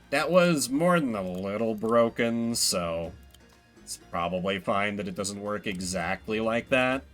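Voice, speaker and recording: Animated man commentating over a video game. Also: mid-range voice. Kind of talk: casual solo gameplay commentary.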